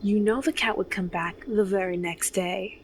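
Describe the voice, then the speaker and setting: A woman playing a fond, gently teasing frontier mother in an audio drama. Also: mid-range voice, steady tone, moderate pace, slight background noise, lightly acted.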